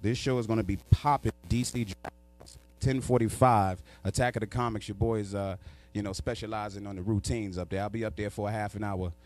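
A man in his thirties from New York doing a stand-up routine into a handheld mic in a small studio, loud and animated, shouting his punchlines. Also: lowish voice, a little fast, soft background music. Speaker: stand-up comedian. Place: small studio with sponsor backdrop. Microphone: handheld microphone.

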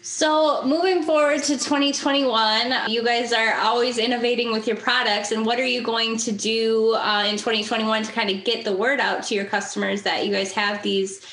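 A woman's voice: a little high, fairly even intonation, moderate pace, hardly any pauses, fairly bright tone. Young woman host asking questions. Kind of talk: interview questions. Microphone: video call. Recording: echoey room on a video call.

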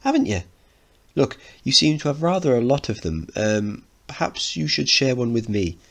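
A man's voice: medium pitch, very animated, normal speed, creaky, voice pushed up. A man voicing a posh, naive, eager teenage boy who speaks politely and earnestly in a dramatic play reading. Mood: curious. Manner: polite, earnest, well-spoken.

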